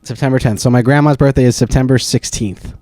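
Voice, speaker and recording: A man's voice: mid-range voice, fast talker, no room sound. A man in his thirties co-hosting a podcast, chatting casually and laughing often. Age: 30s. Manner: laughs often, animated storytelling. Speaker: podcast co-host. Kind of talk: casual chat.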